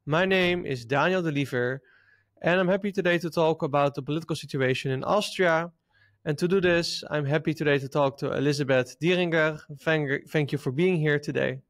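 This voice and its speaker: A man with a Dutch accent hosts an interview in English, asking friendly questions with small hesitations. His voice sits a little high for a man. It is recorded close and dry. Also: fairly quick, dry recording. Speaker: interviewer, host. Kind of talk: casual interview.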